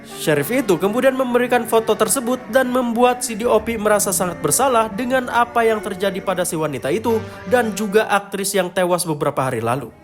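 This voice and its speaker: High-pitched male horror story narrator. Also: a little fast. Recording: music behind the voice. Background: clear background music.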